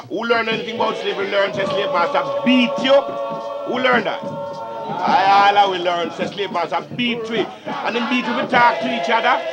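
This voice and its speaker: High-pitched Caribbean man on archival tape. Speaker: Rastafarian speaker. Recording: archival reel-to-reel tape, music behind.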